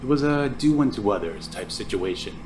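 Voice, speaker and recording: A man's voice: fairly low pitch, animated, fairly quick, darkish tone, slight background noise, lightly acted. A man playing a weary insomniac musician, dry and casual, in a naturalistic audio drama. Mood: uneasy, dry. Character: Ray, weary, broke insomniac musician turned amateur investigator.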